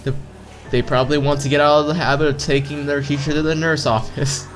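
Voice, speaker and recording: A man's voice: a little high, level tone, fast. A man reading a visual novel aloud casually, playfully stumbling over words and adding side comments. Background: visual novel background music.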